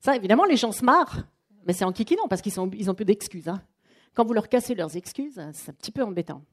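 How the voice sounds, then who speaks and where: A woman presenting a live coaching workshop to a room of people, talking in a chatty, lively way and acting out what clients say. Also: medium pitch, fast talker. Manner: lively, chatty, acts out quotes. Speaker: trainer and coach. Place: training room with an audience.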